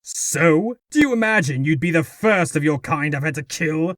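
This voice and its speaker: Casting: male actor as menacing noble swordsman, pompous, fairly high voice with big swings, very dry sound. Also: expressive, normal speed, dry recording, natural voice. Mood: haughty, threatening. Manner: pompous, theatrical. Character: haughty, menacing aristocratic male villain, murderous swordsman. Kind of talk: dramatic comic-dub voice acting.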